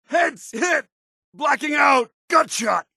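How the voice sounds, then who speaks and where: An actor playing a stern, loyal, idealistic soldier companion in a video game, with a clipped, earnest, authoritative military delivery. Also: male voice, extremely high pitch, lively intonation, takes it slowly, creaky, pushed and bright, no room sound, shouting, studio quality, exaggerated voice. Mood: pained. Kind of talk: video game character dialogue.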